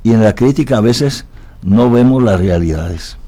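A man's voice: on the low side, expressive, normal speed, dark tone. A man from Italy talking reflectively as an interview guest, philosophical and often tagging his points with questions seeking agreement.

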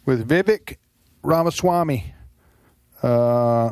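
A man's voice: medium pitch, takes it slowly, darkish tone, clean tone, no room sound, studio quality. A man hosting a talk radio show, chatting hesitantly with many uhs and restarts. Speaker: radio host.

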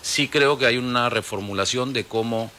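A Peruvian man in his forties, a football club executive answering radio interview questions in a measured, explanatory way with many fillers. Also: medium pitch, bright, forceful tone, slight background noise. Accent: Peruvian Spanish. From Peru. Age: 40s.

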